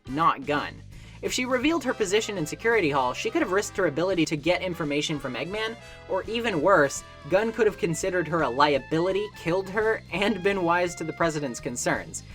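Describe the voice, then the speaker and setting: A woman's voice: low-pitched, fairly bright tone. A woman narrating a scripted video essay in an emphatic, conversational way, as its presenter. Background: music.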